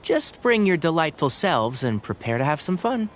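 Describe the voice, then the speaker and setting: A man's voice: a little high, big pitch swings, moderate pace, slight background noise. A man voicing a kindly, considerate young forest ranger in a video game, speaking courteously and articulately. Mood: friendly.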